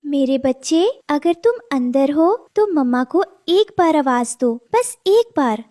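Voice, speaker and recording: Cartoon mother sparrow voiced by a woman: very high, tender, anxious, plaintive, devoted to her young. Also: animated, slow, warm tone, dry recording, clean studio sound. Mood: anxious, pleading. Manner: earnest, plaintive.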